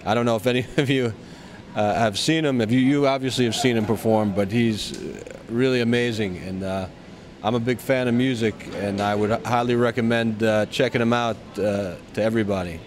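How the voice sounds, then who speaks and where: A man giving a casual interview in a halting way, often stopping and starting mid-phrase. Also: on the low side, steady tone, slight background noise. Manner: halting, hesitant phrasing with frequent stops. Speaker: actor being interviewed.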